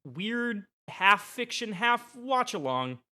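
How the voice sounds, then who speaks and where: A man chatting casually and humorously as a podcast guest, animated and often laughing through his sentences. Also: sits high, slow. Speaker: podcast guest, co-host of another show.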